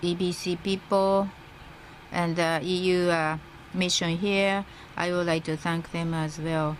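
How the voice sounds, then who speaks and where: A woman working as a simultaneous interpreter, rendering a formal speech live in halting phrases. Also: lowish voice, little pitch movement. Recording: interpreter feed with faint background noise.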